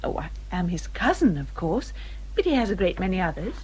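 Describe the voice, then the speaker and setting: An actress playing an earnest, reserved young English gentlewoman in a period radio drama, speaking politely and hesitantly with an English accent. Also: fairly low pitch, big pitch swings, a little fast, breathy edge, full character voice, low-quality recording. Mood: earnest, firm.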